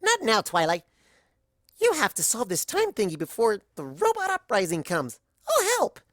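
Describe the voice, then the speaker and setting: A narrator voicing a bubbly, excitable young female cartoon pony who gushes and rambles. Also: mid-range voice, moderate pace, no room sound, clean studio sound, voice pushed up. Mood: eager. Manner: gushing, exclaiming, rambling.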